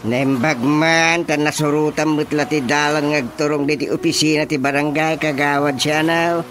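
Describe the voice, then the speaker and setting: A man acting a stern, mocking village chairman in an Ilocano radio drama comedy, interrogating deliberately with an Ilocano accent. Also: fairly high pitch, steady tone, a bit bright, slight background noise, lightly acted. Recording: music behind the voice.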